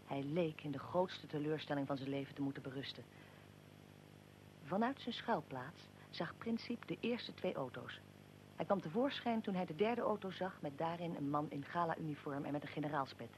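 An actor playing a solemn, probing chronicler in a radio drama, narrating in measured, declarative sentences. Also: mid-range voice, slight background noise, lightly acted, rough recording. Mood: tense narration. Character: solemn, probing chronicler questioning historical figures.

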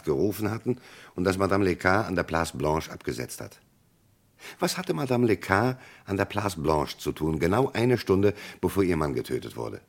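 An actor playing a gruff, probing police commissioner in a German radio crime drama, with a gravelly, husky voice and an insistent, commanding manner. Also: male voice, deep voice, full character voice.

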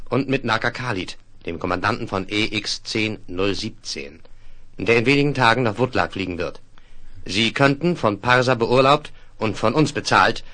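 A state marshal of a space-age administration in a 1970s radio play. The voice is fairly low, bright and firm. He speaks with measured courtesy and genial authority. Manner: measured, courteous, jovially commanding.

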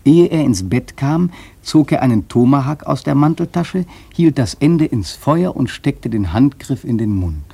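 Husky, mellow, wary seafarer. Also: male voice, mid-range voice, animated, heavily acted. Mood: tense storytelling. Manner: measured, suspenseful storytelling. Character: wary, earnest male seafarer telling his tale. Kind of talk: German radio play narration.

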